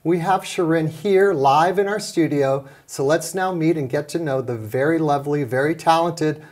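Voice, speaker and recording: A man hosting a studio interview show, introducing his guest and asking questions in a lively, playful way. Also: a little high, normal speed. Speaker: talk show host.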